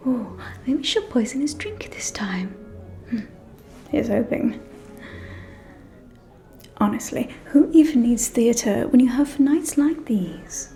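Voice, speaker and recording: A woman playing a haughty, gossipy vampire noblewoman in a whispered ASMR roleplay, catty and conspiratorial, speaking close to the microphone in drawn-out phrases. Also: fairly high pitch, lively intonation, dark tone, soft background music. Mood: catty, gleeful. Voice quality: breathy whisper with murmured phrases. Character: haughty, gossipy aristocratic female vampire at a ball.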